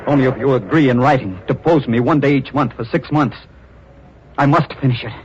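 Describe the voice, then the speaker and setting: An actor playing an obsessive, menacing sculptor in an old radio drama, intense and brooding. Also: male voice, medium pitch, brisk, slight background noise, lightly acted. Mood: insistent. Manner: intense, brooding, turns threatening. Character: obsessive, menacing male sculptor, middle-aged. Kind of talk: 1940s radio acting. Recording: dull old radio recording.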